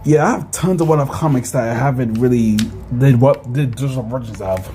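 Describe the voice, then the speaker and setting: A man's voice: mid-range voice, fairly quick, background noise. A man casually commenting on his own comics in a rambling, hesitant way. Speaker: comic creator and reader.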